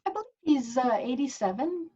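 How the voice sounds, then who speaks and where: Actress as innocent sheltered nun, earnest, easily scandalized, very animated pitch, comedic table read, dull lo-fi call audio. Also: female voice, fairly quick, husky, full character voice. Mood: flustered, prim. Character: naive, sheltered, wistful nun, a woman's future self.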